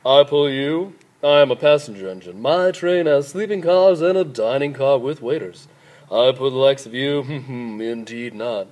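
A man's voice: medium pitch, expressive, a little slow, a little mellow, muffled recording, natural voice. A man voicing a snooty, dismissive steam engine in a children's storybook, with a snorting, self-important manner. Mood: haughty, scornful. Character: haughty shiny new passenger steam engine.

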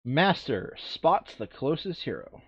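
A man's voice: fairly high pitch, very animated, a little slow, fairly bright tone, breathy edge, dry recording. A man in a casual board game session, reading out moves and explaining rules in an instructive, insistent way. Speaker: tabletop game player reading out enemy moves. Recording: dull, lo-fi microphone sound.

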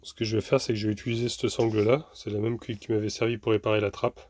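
A man's voice: lowish voice, little pitch movement, quick pace, darkish tone, a little hiss or hum. Chatty man explaining a van fix. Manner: chatty, explains step by step with many restarts. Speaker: vlogger. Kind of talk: casual vlog narration. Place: inside a camper van.

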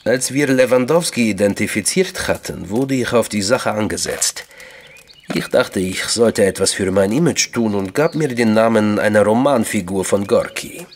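An actor playing a cool, commanding secret agent in a crime radio drama, curt and authoritative. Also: male voice, on the low side, normal speed, slight background noise, lightly acted. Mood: cool, guarded.

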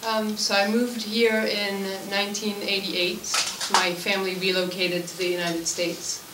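A woman's voice: medium pitch, little pitch movement, a little slow. A woman in her forties from Switzerland, a native French speaker, informally introducing herself as a language teacher at a meeting. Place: school room at a committee meeting, indoors. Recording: echoey room, distant mic, background noise.

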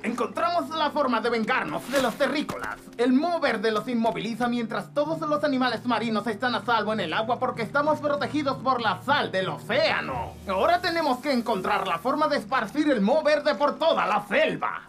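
High-voiced, menacing cartoon octopus villain acted by a woman. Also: lively intonation, fairly quick, few pauses, lightly acted. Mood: gloating. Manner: menacing, theatrical. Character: vengeful octopus villain, scheming enemy of land animals. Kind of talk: animated cartoon dub. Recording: music under the voice.

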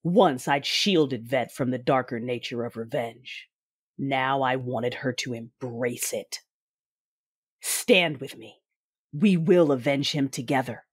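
A woman playing a bitter, imperious Sith Lord bent on vengeance, narrating an audio drama in a grave, measured way. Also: rumbling low, husky, no echo, clean studio sound, full character voice. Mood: cold, commanding.

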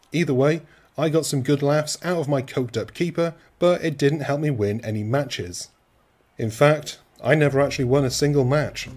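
A man's voice: medium pitch, darkish tone, slight background noise. A man from rural Wales, reading a scripted video game review with dry, cheeky humour.

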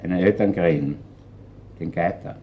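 An actor playing a shrewd, unhurried Viennese chief inspector in a crime radio play, speaking deliberately and wryly with an Austrian accent. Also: male voice, low-pitched, highs cut off, natural voice. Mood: wry, explaining.

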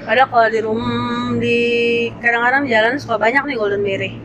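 A woman's voice: on the high side, steady tone, a little fast, noisy background. A woman from Indonesia in her thirties, chatting casually through a cafe visit and slipping English phrases into her talk. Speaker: food vlogger. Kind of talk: casual vlog narration. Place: cafe and grocery shop, indoors. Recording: music playing behind the voice.

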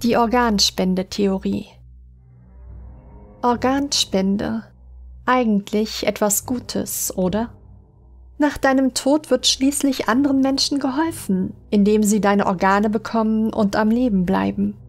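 High-voiced woman narrating horror stories. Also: steady tone, measured pace. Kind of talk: scripted creepypasta audiobook narration. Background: music under the voice.

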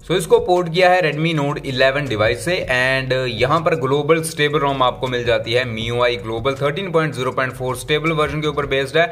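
A man's voice: mid-range voice, a bit bright. A man giving a casual tech review walkthrough of a phone, chatty and mixing English terms into his Hindi.

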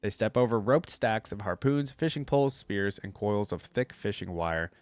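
A man's voice: lowish voice, animated, normal speed, dry recording. A young man hosting a reaction video, reading a story aloud and adding casual commentary, close on a desk microphone. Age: young adult. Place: indoor room at a streaming setup.

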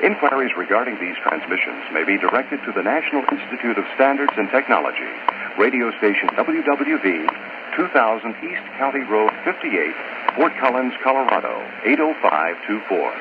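A male time-signal announcer with a fairly low voice, speaking formally and precisely with lively intonation. He comes through a shortwave radio, buried in very loud static. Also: normal speed. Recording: noisy, muffled shortwave radio reception.

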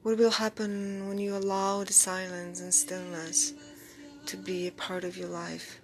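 A woman guiding a meditation in a soft, breathy, near-whispered voice, soothing and unhurried, in short phrases with pauses. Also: mid-range voice, completely flat delivery. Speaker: meditation guide. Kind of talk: guided meditation. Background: background music.

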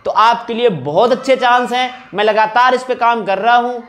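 High-pitched man, insistent. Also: moderate pace, a bit bright. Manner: insistent, repeating rhetorical questions and appeals. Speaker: exam coaching teacher and channel host. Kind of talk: informal update talk addressed to exam candidates.

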